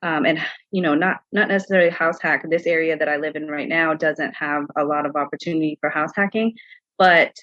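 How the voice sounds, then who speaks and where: A middle-aged American woman speaks as a podcast guest in a slightly low voice. She answers in a casual, conversational way. The audio is dry and a little dull. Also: fairly even intonation, fairly quick, fairly bright tone, no room sound.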